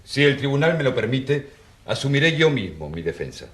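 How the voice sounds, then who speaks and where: A man's voice: medium pitch, sing-song, brisk, a bit bright. An actor playing an earnest country priest in his thirties, pleading with rhetorical force in a radio drama. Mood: dignified, composed. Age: 30s. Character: impassioned rural priest of 34, defending poor peasants. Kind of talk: radio drama courtroom oratory. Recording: roomy echo.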